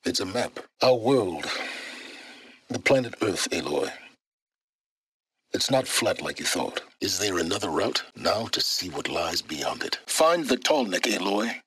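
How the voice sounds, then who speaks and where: A secretive, learned middle-aged man from a video game, with a very low and husky voice. He speaks in formal, precise phrases, commanding and condescending, and his pitch moves with great expression. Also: sing-song, a little slow, a bit bright, dry recording, exaggerated voice. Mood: curt, instructing. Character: secretive, learned older male wanderer, imperious and impatient. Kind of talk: video game character dialogue.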